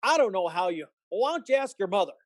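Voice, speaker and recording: A man's voice: high voice, very animated, fast, dry recording, studio quality. Man acting a frantic, stammering family man. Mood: irritated, angry. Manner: agitated, stammering. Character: distraught, short-tempered family man at the end of his rope. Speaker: amateur local actor. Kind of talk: old-time radio drama acting.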